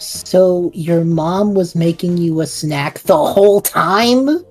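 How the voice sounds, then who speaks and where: A woman's voice: fairly low pitch, takes it slowly, darkish tone, soft background music. A woman playing a bemused, anxious adventurer friend in an audio drama, incredulous and hesitant. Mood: puzzled.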